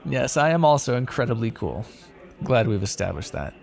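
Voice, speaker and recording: A man acts out a male vampire for an ASMR roleplay, flirtatious and wry. His voice has a gravelly fry and moves up and down in a very lively way. He talks casually and teasingly, with a sardonic, faintly threatening edge. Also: mid-range voice, measured pace. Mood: playful, teasing.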